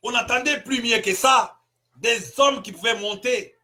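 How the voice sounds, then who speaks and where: Congolese pastor, male, high and bright-toned, fiery rhetorical delivery with shouted peaks. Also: measured pace. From Congo (DRC). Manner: emphatic, rhetorical questions, bursts of shouting. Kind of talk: impassioned political sermon.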